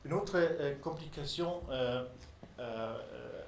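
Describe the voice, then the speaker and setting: A man presenting his research in French, which is not his native language, speaking haltingly with many pauses and restarts. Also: medium pitch, gravelly. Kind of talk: hesitant formal presentation in a second language. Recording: echoey room, faint background noise.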